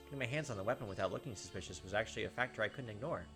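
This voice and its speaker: A man's voice: lowish voice, very fast. A man reading a visual novel's narration aloud as he plays, adding short side comments of his own. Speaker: gaming channel host doing a playthrough. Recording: game music behind the voice. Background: visual novel background music.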